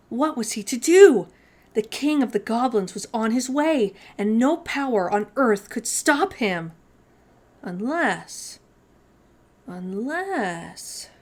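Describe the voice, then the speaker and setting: A woman hosting children's storytime, reading a storybook aloud with dramatic, suspenseful phrasing. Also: a little high.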